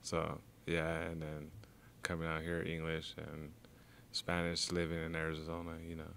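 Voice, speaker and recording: Deep gravelly young man from Congo. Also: monotone, normal speed, a little hiss or hum. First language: French. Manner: laid-back, soft-spoken, many fillers. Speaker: boxer. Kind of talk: casual interview chat.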